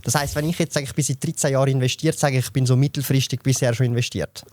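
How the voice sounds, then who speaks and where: Fast-talking Swiss man in his thirties. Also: medium pitch, steady tone, a little mellow, no echo. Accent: Swiss German. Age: 30s. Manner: fast, explanatory, lots of fillers and restarts. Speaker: podcast guest, investor. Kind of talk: casual podcast chat.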